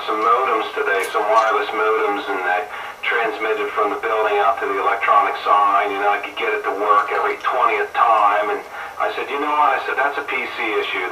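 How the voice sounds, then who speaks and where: A man's voice: on the low side, flat delivery, a little fast, over music. A man having a casual technical chat over a two-way radio, heard through the set's speaker. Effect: two-way radio transmission. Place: picked up in a room from a radio's speaker. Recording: noisy radio speaker, distant and roomy.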